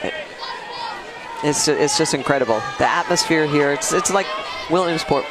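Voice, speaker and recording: A man commentating a youth softball game with open enthusiasm. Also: fairly high pitch, moderate pace. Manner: enthusiastic, laughs easily. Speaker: color commentator. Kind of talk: live sports commentary. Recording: outdoor stadium with loud crowd noise.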